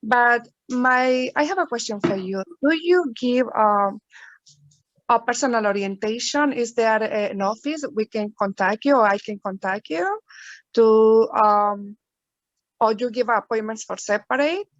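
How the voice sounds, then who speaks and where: Female participant, fairly high voice, polite and hesitant, on dull lo-fi audio. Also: lively intonation, no echo. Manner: halting, polite. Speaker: workshop participant. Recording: dull, dry lo-fi call audio.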